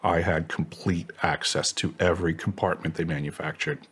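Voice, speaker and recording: Male interview guest, low-pitched, assertive and technical in his phrasing, laying out claims as testimony. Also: fairly even intonation, moderate pace, fairly bright tone, breathy edge. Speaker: interview guest, former contractor.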